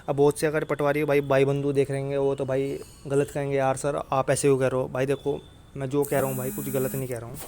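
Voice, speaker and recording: A man's voice: mid-range voice, monotone, fast talker, a little mellow, slight background noise. A man from India with a North Indian accent, giving an informal explainer straight to viewers in a blunt, insistent manner, repeating his points and checking they follow. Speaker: exam coaching YouTuber.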